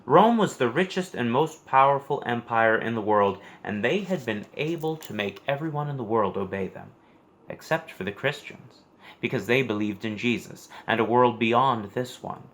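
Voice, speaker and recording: A man reading a scripted story aloud for children in an expressive storytelling manner. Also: mid-range voice, normal speed.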